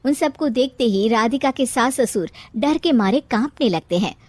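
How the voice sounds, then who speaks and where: High-pitched, animated woman storyteller. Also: normal speed, dry recording. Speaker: narrator. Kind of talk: scripted storytelling for a cartoon.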